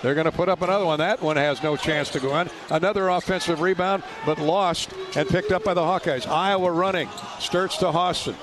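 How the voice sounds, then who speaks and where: A man doing live radio basketball play-by-play in clipped, punchy, excited phrases from an arena. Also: on the high side, fairly quick, background noise.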